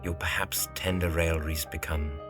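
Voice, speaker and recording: A deep-voiced young Victorian gentleman architect, acted by a man in an audio drama. He is earnest and anxious, hopelessly in love, with music playing clearly behind him. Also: flat delivery, normal speed. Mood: solemn, pleading.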